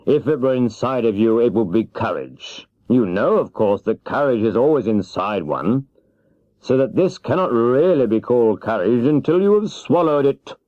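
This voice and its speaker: A man's voice: mid-range voice, lively intonation, moderate pace, dark tone, no echo, voice pushed up, natural voice. A man voicing a polite, obliging little humbug wizard in a children's storybook reading. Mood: coaxing. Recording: dull, lo-fi cassette transfer.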